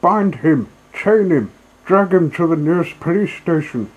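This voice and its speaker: A man's voice: fairly high pitch, expressive, measured pace, voice pushed up, rough recording. A man voicing outraged storybook motorists denouncing a thief in a commanding, vehement way. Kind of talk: storybook character voice.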